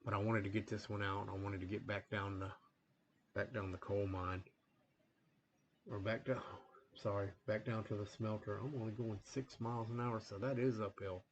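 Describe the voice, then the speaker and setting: A man with a low, flat voice walks through a train-game session in casual, rambling commentary, stopping often for ums and restarts. He sounds a little sniffly, as if a cold is coming on. A faint hum of room noise sits behind him. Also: moderate pace, muffled recording. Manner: rambling, relaxed, many fillers and restarts. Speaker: gaming channel host.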